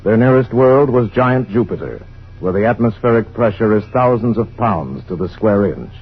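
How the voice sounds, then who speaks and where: Grave, deep-toned radio drama narrator. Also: male voice, expressive, slightly rough. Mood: grave, ominous. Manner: grave, measured, portentous delivery. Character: solemn omniscient male narrator of a Martian invasion tale. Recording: dull old radio broadcast recording. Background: dramatic music.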